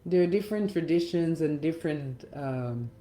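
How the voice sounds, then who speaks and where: A middle-aged woman gives a reflective guided meditation talk in a gentle, measured manner with pauses. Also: deep voice, expressive. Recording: roomy echo, faint music underneath. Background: faint music.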